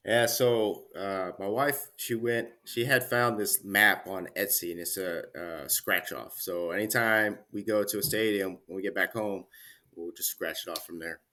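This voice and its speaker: A man in his thirties, a guest chatting casually in an interview, relaxed with many fillers and restarts. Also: fairly low pitch, moderate pace. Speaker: Army career manager guest.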